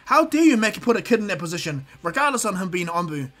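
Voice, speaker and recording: A man's voice: high-pitched, expressive, fast talker, sharp tone, exaggerated voice. A man voicing a stern, short-tempered adult female ninja who scolds sharply. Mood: angry.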